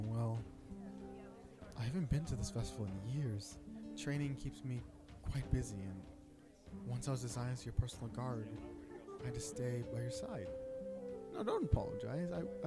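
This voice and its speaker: A man playing an earnest royal knight and bodyguard in a romantic audio roleplay, speaking formally and hesitantly to his princess. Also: mid-range voice. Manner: earnest, hesitant, stammering, formal courtly address. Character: young male knight, protective royal bodyguard, earnest and flustered. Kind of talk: romantic audio roleplay acting, addressing the listener.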